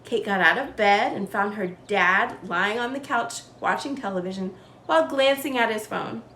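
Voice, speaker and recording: A woman reading a children's storybook aloud in an animated, carefully enunciated way, as a storyteller for kids. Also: mid-range voice, normal speed. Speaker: children's read-aloud storyteller, show host. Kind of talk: scripted storybook narration for kids. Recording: roomy echo with faint background noise.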